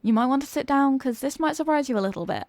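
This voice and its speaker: A flustered popular cheerleader girl with a secret crush, played by a young woman. Her voice sits fairly high and sounds very dry, with no room around it. She talks in a coy, dramatic way suited to an intimate ASMR roleplay. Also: expressive, quick pace, darkish tone, no echo. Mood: reluctant.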